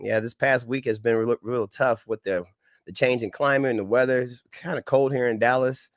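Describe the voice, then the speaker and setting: A man's voice: medium pitch, steady tone, normal speed, dry recording, clean studio sound. A middle-aged man with a head cold, his voice a bit crackly and nasal, hosting a live-stream training in an upbeat, folksy manner with preacher-like greetings. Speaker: government contracting trainer. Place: home setup in Dallas.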